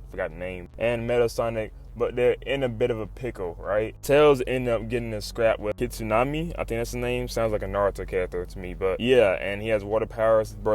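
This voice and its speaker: A man giving a casual, excited recap as a video host, full of slang, swearing and animated exclamations. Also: lowish voice. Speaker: YouTube host recapping comics.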